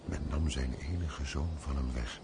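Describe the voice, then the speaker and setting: Loyal servant, extremely low voice, earnest and respectful, radio drama, light background noise. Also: male voice, a little slow, vocal fry, heavily acted. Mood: firm, pleading. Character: loyal, frank, compassionate manservant to a gentleman. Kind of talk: radio play acting.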